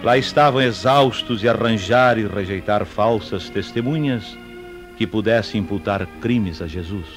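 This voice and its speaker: A man's voice: on the low side, measured pace, soft background music. A man giving solemn scripted narration of a Bible story.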